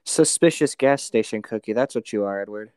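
A man's voice: lowish voice, brisk, a little mellow, clear, resonant tone, no echo, studio quality. A man co-hosting in casual, joking chat with a slangy, rambling manner. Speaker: co-host.

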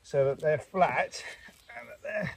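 A man's voice: on the high side, lively intonation, a little slow, gravelly, slightly rough, recorded from a distance. A middle-aged man chatting casually and encouragingly while he works outdoors in a garden, picked up by a camera a few metres away. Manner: patient, encouraging. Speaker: father doing garden work, vlogger. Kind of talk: casual chat while working. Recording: outdoor noise, off-mic, handling clicks.

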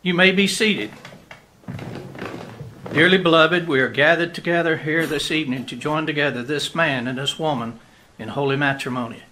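A man playing a kindly country pastor in a radio drama, measured and reassuring. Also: fairly high pitch, sharp tone, low-quality recording. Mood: solemn. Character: kindly country pastor officiating a Christmas Eve wedding. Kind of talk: radio drama acting, wedding sermon.

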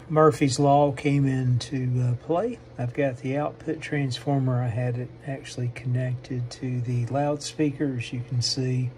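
A man narrating a hands-on repair demonstration, haltingly thinking aloud as he works at a bench indoors. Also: medium pitch, darkish tone, slight background noise. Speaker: host of a radio repair channel.

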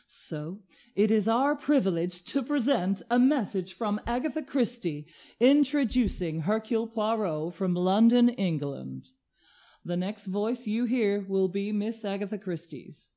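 A woman's voice: mid-range voice, lively intonation, unhurried, mellow. A woman announcing a radio drama in a formal, grandiose old-time scripted style.

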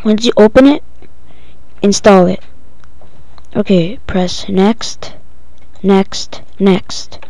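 A female-sounding speaker giving a casual, halting, improvised step-by-step software tutorial. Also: mid-range voice, no echo. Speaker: tutorial presenter. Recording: dull lo-fi home recording.

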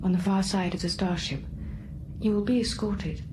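An actress playing a charming, helpful hologram guide in a radio drama, composed and instructive. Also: female voice, medium pitch, steady tone, normal speed, noisy background, close to an everyday voice.

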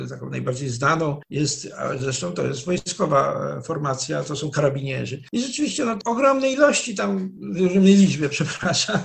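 An older man, a professor, explaining history in a measured, digressive way with frequent restarts, speaking over a video call from a wood-panelled study. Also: on the high side, vocal fry. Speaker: professor, interview guest. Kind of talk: explanatory academic talk. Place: study with dark wooden shelves, indoors.

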